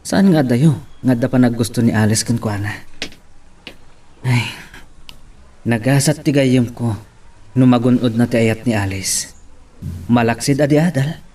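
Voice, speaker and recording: A man's voice: mid-range voice, a little slow, pauses often, mellow, close to an everyday voice. A man with an Ilocano accent playing an eager young suitor in an audio drama, recorded at a distance. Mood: musing. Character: eager lovestruck young man courting a woman.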